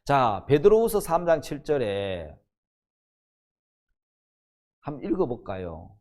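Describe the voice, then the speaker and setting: Older male preacher with a very animated delivery. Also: mid-range voice, takes it slowly. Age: 60s. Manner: emphatic teacher, often asks and answers own questions. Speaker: pastor. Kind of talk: Bible teaching sermon.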